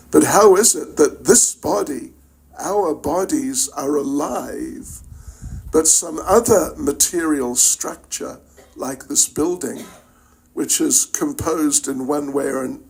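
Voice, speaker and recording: Slow, gravelly older New Zealand man lecturing. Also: fairly high pitch, darkish tone. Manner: halting, reflective, conversational, checks in with listeners. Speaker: spiritual teacher giving a lecture. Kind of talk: informal religious lecture to an audience.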